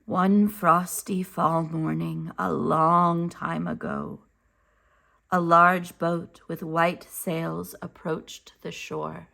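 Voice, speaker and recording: A woman reading a children's picture book aloud as a teacher, enunciating carefully and deliberately. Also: lowish voice, flat delivery, vocal fry, bright, forceful tone. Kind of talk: slow, expressive children's read-aloud narration.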